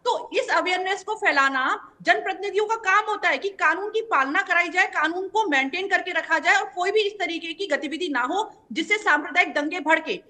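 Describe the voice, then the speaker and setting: A woman's voice: very high voice, expressive, a little fast, hardly any pauses, sharp tone. A woman party spokesperson arguing assertively on a TV news panel, laying out legal points one by one. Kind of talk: debate argument on a news panel.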